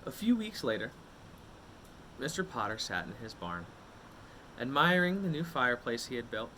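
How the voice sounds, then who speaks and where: A man reads a picture book aloud in a medium-pitched, highly animated voice. He stretches words for drama and leaves suspenseful pauses, like a storyteller for young children. There is a light background noise on the recording. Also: poor audio.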